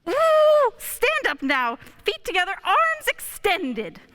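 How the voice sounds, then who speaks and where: A woman's voice: very high voice, huge pitch swings, slow pace, bright, forceful tone, exaggerated voice. A woman playing a nervous, pompous, irritable male personnel examiner in a radio play, with an officious, snappish way of talking. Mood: flustered, irate.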